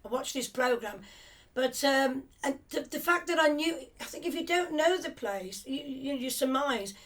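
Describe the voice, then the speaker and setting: An older woman with a high, light voice recalls her family's past at an unhurried conversational pace. She drifts and restarts as memories come back, reflective rather than polished. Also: expressive, a bit bright. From England, of Cypriot family. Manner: rambling, reflective storytelling with restarts. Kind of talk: reminiscing conversation.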